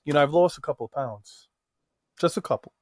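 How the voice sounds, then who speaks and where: A man co-hosting a casual livestream chat, brash and profane, with sudden loud jumps. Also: a little high, a little fast, no room sound, studio quality. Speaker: livestream co-host.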